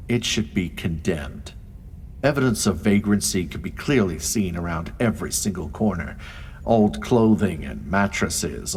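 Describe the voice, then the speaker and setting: Low-voiced, world-weary crime scene investigator. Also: male voice, slight background noise. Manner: world-weary, dry, sardonic. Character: weary, wry male crime scene investigator narrating a noir case. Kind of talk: noir audio drama narration and dialogue.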